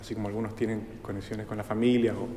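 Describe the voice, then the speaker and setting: A man's voice: lowish voice, little pitch movement, quick pace, mellow. A man interviewing a guest, asking long polite questions full of fillers. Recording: echoey room with hall reverb, faint noise.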